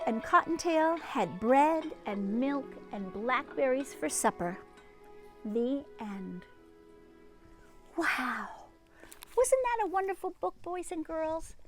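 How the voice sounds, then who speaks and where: A woman reading a storybook aloud to young children, expressively, with dramatic pauses and little exclamations and asides to her listeners. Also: high voice. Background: music and sound effects.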